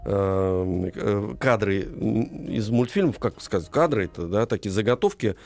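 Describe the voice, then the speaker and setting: A man hosting a live radio talk show in an informal, halting way, repeating himself. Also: lowish voice, lively intonation, gravelly, a little mellow, dry recording. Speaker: radio host.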